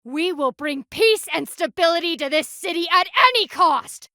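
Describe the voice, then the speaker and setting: A woman's voice: very high-pitched, lively intonation, normal speed, sharp tone, no room sound, clean studio sound, heavily acted. A woman voicing a stern, proud panda warrior in a video game, with a formal, commanding, clipped manner. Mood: urgent, outraged. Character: stern, proud anthropomorphic panda woman, loyal military guardian.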